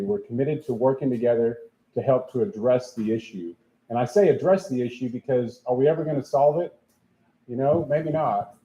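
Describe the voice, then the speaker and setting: A man's voice: medium pitch, dark tone. A police official giving casual, plain-spoken remarks at a town hall meeting, often repeating words as he goes. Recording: roomy, dull sound.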